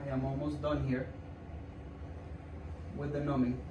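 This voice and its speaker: A man's voice: medium pitch, level tone, a little slow, muffled recording. A man working as a doctor, casually and reassuringly talking a patient and viewers through a procedure in an informal way. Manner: informal, reassuring, repeats himself while demonstrating. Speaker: doctor performing a hand procedure. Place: indoor clinic room. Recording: roomy, distant mic with background noise.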